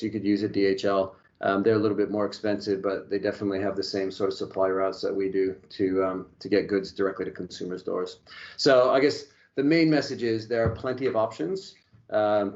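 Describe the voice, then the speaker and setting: A man from Hawaii, now speaking with an Australian-tinged accent, walks through logistics in a relaxed webinar style, leaning on fillers and restarts. His voice sits low and stays flat in pitch. The call audio is dull and lo-fi. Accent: Australian-tinged American. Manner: conversational, frequent fillers and restarts. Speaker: logistics expert. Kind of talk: webinar presentation.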